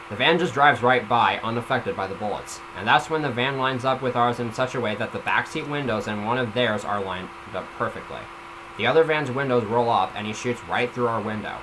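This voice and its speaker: A man giving a casual, amateur story reading, stumbling at times and slipping in informal comments of his own. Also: on the low side. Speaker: reader of a self-written story. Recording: noisy home microphone recording.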